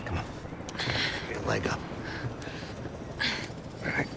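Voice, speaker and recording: An actor playing a terse, world-weary middle-aged American action hero with a sardonic edge in a video game. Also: male voice, takes it slowly, close to an everyday voice. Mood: tense, commanding. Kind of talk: cinematic video game acting. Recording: action game soundtrack, loud music and noise.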